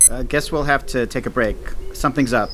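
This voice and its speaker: A man's voice: mid-range voice, brisk, background noise, lightly acted. An actor playing an easygoing, teasing male space station commander with a casual, wry manner in an audio drama. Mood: playful, fond.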